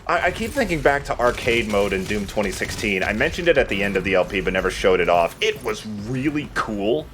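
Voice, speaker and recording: A man's voice: on the low side, sing-song, brisk, over music. A man giving casual, chatty gaming commentary to a friend as he plays. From United States. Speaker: let's play host playing the game.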